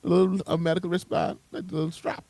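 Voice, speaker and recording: A man's voice: sits high, level tone, rapid-fire, dark tone, no echo. A man in his thirties co-hosting a morning radio show in casual banter. He laughs a lot and stumbles over repeated words. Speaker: radio co-host.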